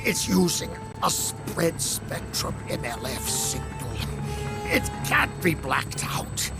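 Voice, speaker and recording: An actor playing a smug middle-aged villain in a video game, a gloating fanatic with theatrical, drawn-out delivery. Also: male voice, a little high, very animated, vocal fry, over loud music, heavily acted. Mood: smug explaining. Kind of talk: dramatic video game acting.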